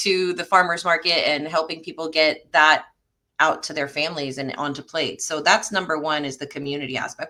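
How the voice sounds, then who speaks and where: A woman's voice: low-pitched, sharp tone. A middle-aged woman from France, a native French speaker, telling stories as a guest in a conversational interview. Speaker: interview guest, parks and recreation director.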